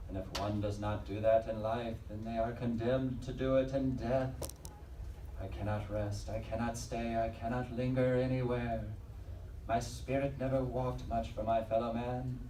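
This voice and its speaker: A man's voice: medium pitch, a little mellow, close to an everyday voice. Echoing across a live stage with faint noise, a man acts out a weary ghost condemned to wander. He declaims solemnly and theatrically, at some distance from the mic. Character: weary, condemned ghost of a young male patient. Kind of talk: live audio drama acting.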